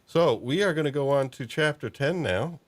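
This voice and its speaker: A man hosting a casual chat, talking haltingly and circling back mid-thought. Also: mid-range voice, expressive. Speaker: podcast host. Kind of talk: casual chat about fan fiction and films.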